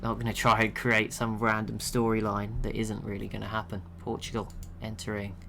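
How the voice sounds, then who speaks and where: A young man giving relaxed, chatty commentary over a strategy game he is watching, laughing to himself now and then. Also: lowish voice, moderate pace, vocal fry, slight background noise. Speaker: gaming channel host.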